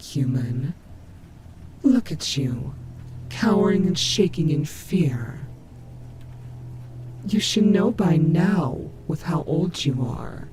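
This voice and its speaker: Nightmare animatronic rabbit, played by a woman: taunting, theatrical, very drawn-out delivery, audio roleplay, clearly noisy recording with rumble. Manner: slow, mocking, theatrical drawl with whispered asides. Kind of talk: scripted audio roleplay acting.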